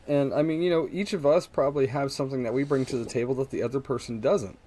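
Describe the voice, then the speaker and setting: A man's voice: medium pitch, steady tone, fast, dark tone. A man co-hosting a casual podcast, bantering in a teasing, laid-back way. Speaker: podcast co-host.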